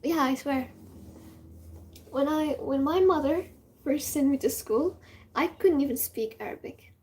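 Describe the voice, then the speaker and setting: A young woman from Morocco with a Moroccan accent, Amazigh her first language, chatting casually and excitably, rambling with many fillers and dropping into whispers. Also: high voice, unhurried, slight background noise. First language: Amazigh (Tamazight). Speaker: vlogger.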